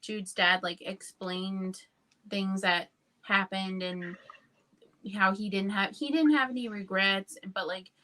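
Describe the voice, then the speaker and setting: A woman's voice: mid-range voice, steady tone, slow, pushed and bright. A woman chatting casually about books on a livestream, informal and earnest in manner. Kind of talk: casual book chat.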